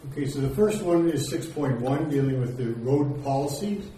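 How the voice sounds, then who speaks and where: Man, council chair, mellow voice, echoey chamber, distant mic. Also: medium pitch, expressive, normal speed. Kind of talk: formal council meeting proceedings. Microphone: table microphone. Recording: echoey room from far off, faint noise.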